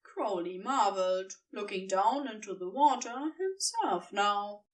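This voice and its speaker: A woman narrating scripted fiction with an expressive, tender storytelling manner. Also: mid-range voice, a little slow, far from the mic.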